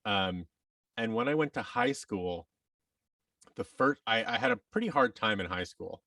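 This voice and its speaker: A man telling his story casually as a podcast guest, reflective and halting, often acting out bits of quoted dialogue. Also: on the low side, expressive, pushed and bright, no echo, clean studio sound, distant mic. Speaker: podcast guest, actor and audio drama producer.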